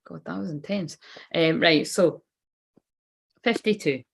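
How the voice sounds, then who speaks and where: A woman's voice: fairly low pitch, slow pace, gravelly, breathy edge. A woman giving a casual tarot card reading. She rambles loosely and swears offhandedly.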